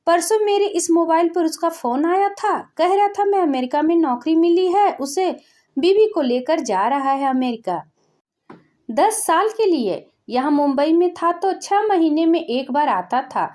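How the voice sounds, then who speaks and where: A woman's voice: very high voice, animated, a little slow, darkish tone. A woman voicing a poor old father from a village who speaks in a pleading, bewildered way, in a spoken storybook.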